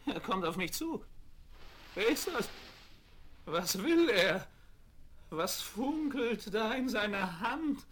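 An actor playing a vain, evil sorcerer in a theatrical children's audio play from the 1970s. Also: male voice, high voice, lively intonation, takes it slowly, pauses often, fairly bright tone, exaggerated voice. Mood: alarmed. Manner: theatrical, gloating and exclamatory. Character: vain, cackling evil male sorcerer losing his power. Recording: vinyl record rip with background noise.

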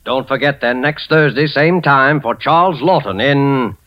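A man announcing a 1940s radio show in a measured, dramatic manner, on a dull old recording. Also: medium pitch. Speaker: program announcer.

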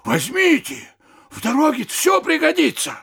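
An actor playing a greedy, bossy manservant in a comic audio drama, brusque and practical, ordering people about. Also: male voice, very high-pitched, big pitch swings, moderate pace, vocal fry, fairly bright tone, full character voice. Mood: greedy, eager. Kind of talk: theatrical audio drama comedy acting.

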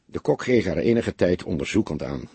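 A very husky man narrates a detective story in a fairly low voice. His scripted delivery is dramatic, with lively rises and falls, as befits an audiobook. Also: normal speed, dry recording.

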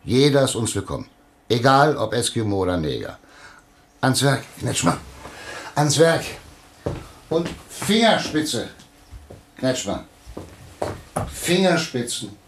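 A self-important small-town newspaper boss in a radio play. He is pompous and preachy, his pitch swinging widely as he hammers his points home and repeats them with scheming relish. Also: big pitch swings, slow pace, long pauses, a little hiss or hum. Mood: urgent, preachy. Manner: pompous, emphatic, repeats himself for effect. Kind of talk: German radio play acting.

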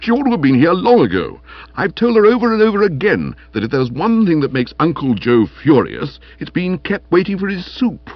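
A man's voice: on the high side, huge pitch swings, normal speed, no echo, dull audio, voice pushed up. A man voicing a stern, peevish young constable in a comic audiobook, laying down the law in accusing pronouncements. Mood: bossy, peevish. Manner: hectoring, morose, clipped pronouncements.

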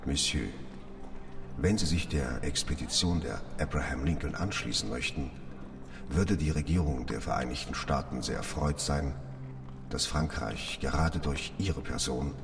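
A formal official delivering a letter in a radio drama. The voice is extremely deep, set far from the mic in a long, ringing reverb. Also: male voice, moderate pace. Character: formal government official voicing his letter. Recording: distant, long reverberant hall.

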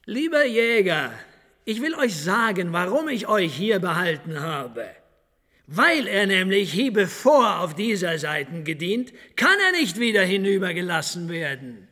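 An actor playing a commanding, wily fortress colonel in a period radio drama, with deliberate pauses, hums and threatening politeness. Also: male voice, high-pitched, sing-song, bright, forceful tone, full character voice. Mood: firm, formal. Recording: long reverberant room.

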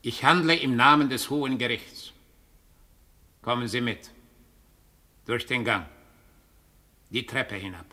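An actor playing a doppelganger who comes for a prisoner on behalf of a high court. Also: male voice, a little slow, long pauses, pushed and bright. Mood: cold. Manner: curt, commanding. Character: cold male doppelganger, envoy of the High Court. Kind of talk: radio drama acting.

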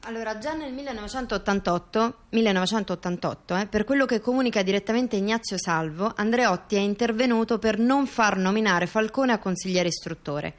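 A woman playing an intellectual in a radio theatre dialogue, deliberately reading out dates and testimony in documentary style. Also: mid-range voice, lightly acted. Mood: matter-of-fact. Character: female intellectual chronicling events and quoting testimony. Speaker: performer in a two-person radio theatre dialogue.

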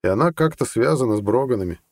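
Man voicing a sly, measured judge, close and dry. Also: mid-range voice, expressive, no echo, pitched above the performer's own voice. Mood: hesitant, explanatory. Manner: deliberate, hedging, lawyerly. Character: sly, measured older judge, a scheming conspirator. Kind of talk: audiobook character dialogue.

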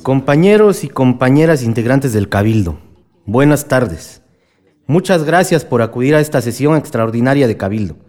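Un actor de radionovela que interpreta a un presidente municipal cortés que se dirige formalmente al cabildo. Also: male voice, mid-range voice, expressive, moderate pace, a little mellow, lightly acted. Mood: courteous, official. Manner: ceremonious public address. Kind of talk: radio drama acting.

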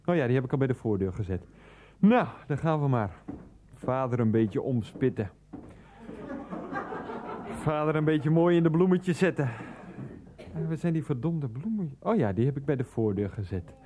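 Casting: man to play irritable everyman grumbler for radio comedy, blunt, with a highly animated pitch range. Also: normal speed, pauses often, a little hiss or hum, lightly acted. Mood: muttering. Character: exasperated, grumbling male customer, easily worked up. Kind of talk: comic radio play acting.

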